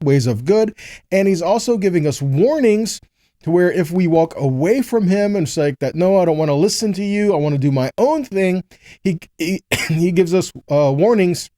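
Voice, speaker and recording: Mellow American man preaching, fairly high voice. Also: no room sound, clean studio sound. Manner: rhetorical questions and frequent check-ins with listeners. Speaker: preacher teaching a scripture lesson. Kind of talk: conversational sermon with scripture readings.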